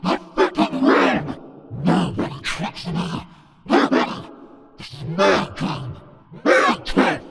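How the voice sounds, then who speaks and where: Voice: mid-range voice, fairly even intonation, slow pace, creaky, long pauses, a bit bright, a little hiss or hum, exaggerated voice. An actor voicing a vengeful, feral demonic beast, taunting and ranting in a horror audio drama. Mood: furious. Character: feral demonic pink-skinned female beast, vengeful music producer.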